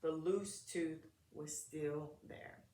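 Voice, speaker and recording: A woman's voice: sits low, fairly even intonation, drawn-out words, mellow, slight background noise. A woman reading a picture book aloud to preschool children in short, simple phrases, talking to them directly. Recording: echoey room.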